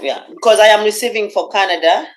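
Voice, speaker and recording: Mid-pitched speaker giving donation updates. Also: quick pace. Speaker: donation treasurer. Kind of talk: online meeting update. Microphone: video call.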